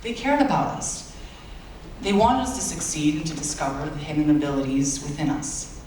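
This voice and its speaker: A man's voice: fairly high pitch, very animated, moderate pace, a little mellow, a little hiss or hum. A young man in his late teens, a native Bulgarian speaker, giving an earnest, self-deprecating graduation speech as valedictorian in a large school auditorium. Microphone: far-off audience camera. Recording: echoey hall recorded from far off.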